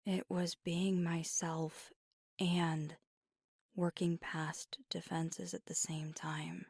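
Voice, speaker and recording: A woman voicing a weary, guarded but tender young werewolf girlfriend in an intimate ASMR roleplay, speaking in a breathy, husky, halting hush. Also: lowish voice, flat delivery, no room sound, clean studio sound, heavily acted. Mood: weary, confiding. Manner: hushed, halting, confiding.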